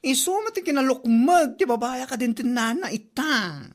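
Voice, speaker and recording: A woman's voice: high voice, animated, normal speed. A woman playing a brash, gossipy, teasing friend in a comedy drama, with an Ilocano accent. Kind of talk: comedy drama podcast acting.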